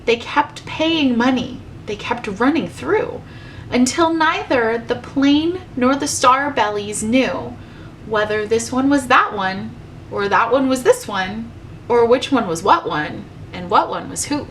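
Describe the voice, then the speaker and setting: A woman with a fairly high voice reads a children's storybook aloud. She takes the rhymes slowly and rhythmically, like a teacher, and there is noise in the recording. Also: fairly bright tone.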